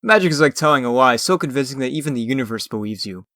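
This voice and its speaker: A man's voice: mid-range voice, lively intonation, quick pace, bright, forceful tone, dry recording. A man playing a theatrical magician and escape artist in a comic dub, lecturing in a grandiose, urbane and condescending way. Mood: grand, proud.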